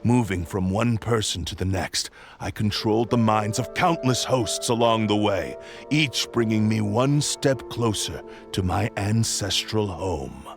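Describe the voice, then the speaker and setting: A man's voice: on the low side, lively intonation, unhurried, gravelly. A man playing an arrogant, vengeful sentient sword that possesses people, speaking in a grandiose, menacing villain style for a video game. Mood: scornful, ambitious. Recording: loud background music.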